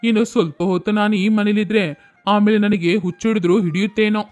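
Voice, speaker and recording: A man's voice: sits high, fairly even intonation, fairly quick, a little mellow, close to an everyday voice. Man voicing an exasperated village husband. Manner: complaining, torn between wife and mother.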